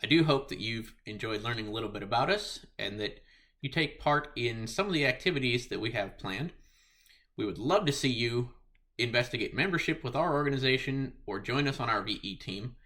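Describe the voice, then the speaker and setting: Bright, forceful-voiced man presenting. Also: medium pitch, animated, a little fast. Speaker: amateur radio club member presenting the club. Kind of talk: scripted presentation.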